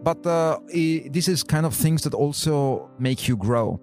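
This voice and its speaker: A man in his thirties from Austria who grew up in Italy, answering interview questions in relaxed, plain-spoken English with halting phrasing. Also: on the high side, soft background music. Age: 30s. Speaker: diver and business owner. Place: on a boat in a bay.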